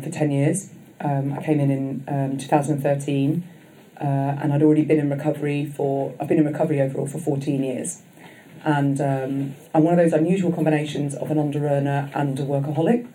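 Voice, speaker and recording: A woman with a husky, loud voice gives a reflective, halting personal recovery share as guest speaker at a convention, speaking into a microphone. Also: low voice, steady tone, a little hiss or hum, rough recording. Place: convention session room.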